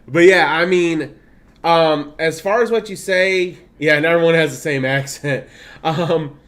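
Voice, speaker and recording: Male streamer, 30s, blended Midwestern/Southern/Californian accent, slightly high pitch, chatty and laughing. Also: slow, a bit bright. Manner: chatty, laughs often, reads game text aloud. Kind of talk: casual gameplay stream commentary.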